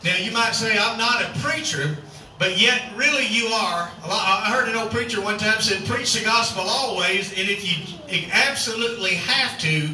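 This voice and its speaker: A man's voice: sits high, moderate pace, pushed and bright. Folksy man preaching in an arena. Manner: folksy, chatty, calls for crowd response. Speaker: cowboy church pastor. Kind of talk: sermon and announcements. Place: outdoor rodeo arena at sunset. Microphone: far camera across the arena. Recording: echoey outdoor arena from far off, noisy.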